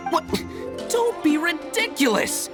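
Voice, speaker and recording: A woman's voice: very high voice, sing-song, slow pace, over music, exaggerated voice. A woman voicing a zealous, self-important female fruit seller in a video game, emphatic and declamatory. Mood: indignant.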